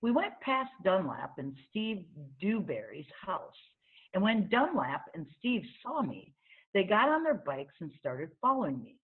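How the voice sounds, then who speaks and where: A woman reading a children's book aloud as an expressive storyteller, on a thin, phone-like recording. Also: medium pitch, measured pace. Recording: thin, phone-like narrow-band sound.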